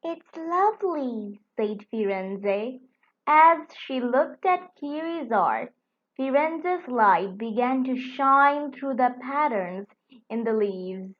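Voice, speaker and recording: A woman reading a children's picture book aloud in an expressive, animated storytelling style. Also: fairly high pitch, lo-fi sound. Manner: deliberate, expressive delivery.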